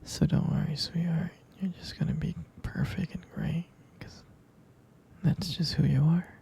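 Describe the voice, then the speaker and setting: A man playing a devoted, soothing expectant father in an intimate ASMR roleplay, murmuring affectionately in a soft, breathy, husky whisper. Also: fairly high pitch, fairly even intonation, full character voice. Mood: tender, reassuring. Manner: slow, murmuring, reassuring, affectionate.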